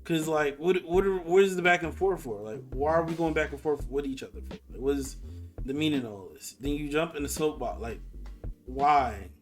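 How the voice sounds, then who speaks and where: High-voiced exasperated man, music behind. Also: fairly quick. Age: 20s. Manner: exasperated, confrontational, slangy and sweary. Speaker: host of an online live voice chat. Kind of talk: casual argumentative live conversation.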